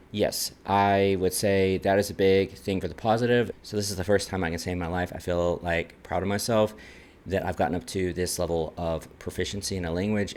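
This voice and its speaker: Deep-voiced American man. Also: a little mellow. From Texas, United States. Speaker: vlogger. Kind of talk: personal talk to camera, numbered list.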